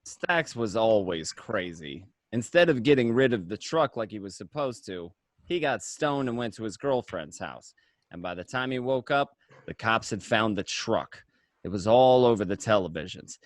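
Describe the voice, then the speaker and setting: Man playing a dry-miked, animated mobster narrator. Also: fairly low pitch, normal speed, dry recording, close to an everyday voice. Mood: grim, reflective. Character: reflective adult male mobster narrator named Henry. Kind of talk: first-person crime radio drama narration.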